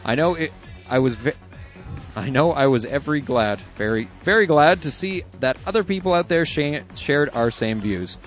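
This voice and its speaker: A man's voice: a little high, animated, normal speed. A man co-hosting an internet radio show, reading listener emails aloud with chuckles and asides in casual chat. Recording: dull lo-fi audio with faint music.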